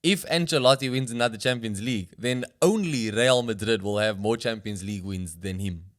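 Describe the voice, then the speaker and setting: Male podcast co-host. Casual, slangy football banter with animated reactions. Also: lowish voice, fairly quick. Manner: slangy, keeps saying bro, animated reactions.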